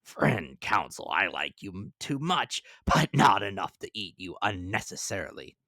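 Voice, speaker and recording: A man voicing a gruff, brash and roguish Canadian harpooner in an emphatic, blunt way for a dramatic audiobook. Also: low-pitched, sing-song, moderate pace, pushed and bright, no echo, exaggerated voice. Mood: eager.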